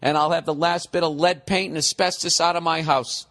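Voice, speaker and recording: A man in his forties hosts a comedy podcast, mocking a listener's email. His voice sits high for a man, and the talk stays sarcastic, exasperated and heavy on profanity. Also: fairly even intonation, a little fast, a bit bright. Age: 40s. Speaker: podcast host.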